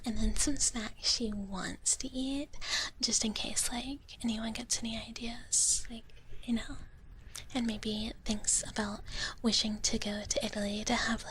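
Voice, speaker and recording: A woman voicing a gentle, shy dragon big-sister streamer, whispering breathily and haltingly in a soft ASMR chat. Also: a little high, a little hiss or hum, poor audio. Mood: soft, hesitant. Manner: hesitant, halting, soft-spoken, refers to herself in third person. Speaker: ASMR livestream host.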